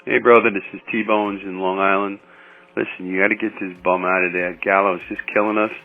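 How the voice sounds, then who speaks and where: A man's voice: low voice, level tone, fairly quick. A man calling in on a phone voicemail with a casual sports fan's take. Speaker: voicemail caller. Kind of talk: casual sports fan complaint. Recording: tinny phone voicemail, faint music.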